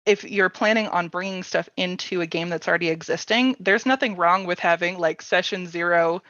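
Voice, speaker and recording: A woman hosting a casual panel discussion, thinking aloud informally in halting phrases. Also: on the low side, fairly bright tone. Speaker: workshop host and panelist. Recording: roomy, slightly dull sound.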